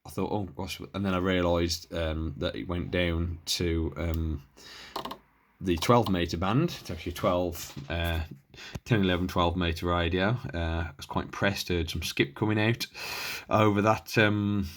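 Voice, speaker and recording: Low-voiced British man, rambling through a demo. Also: fairly even intonation, unhurried, a little hiss or hum. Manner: rambling, hesitant, self-interrupting. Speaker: hobbyist showing and reviewing his radio gear. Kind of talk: casual unscripted equipment demo. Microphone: handheld camera.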